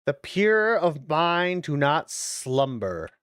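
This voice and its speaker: A streamer talks at an unhurried pace in a very dry recording. The delivery is casual watch-along commentary, moving between deliberate reading aloud and loose, muttered asides. The voice sits at a middling pitch. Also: sing-song, a bit bright, smooth, no echo. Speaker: streamer reacting to videos.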